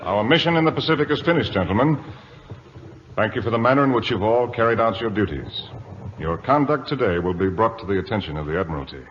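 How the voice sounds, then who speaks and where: Actor as stern sea captain, noisy old radio. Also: male voice, lowish voice, lively intonation, quick pace, muffled recording, natural voice. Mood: formal, grateful. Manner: formal, commanding, clipped orders. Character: stern, formal, irritable naval sea captain. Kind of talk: old-time radio drama acting.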